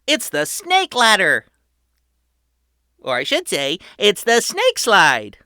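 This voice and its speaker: A woman voicing an excited young boy who shows off his inventions, calling out like a showman. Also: slow, no echo, clean studio sound, exaggerated voice. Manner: shouty and showman-like, booming announcements.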